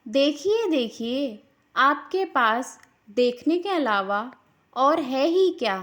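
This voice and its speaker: A woman voicing a boastful, scornful rich daughter-in-law in a cartoon moral story, quick to take offence. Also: high voice, animated, fairly bright tone, exaggerated voice. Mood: haughty, scornful.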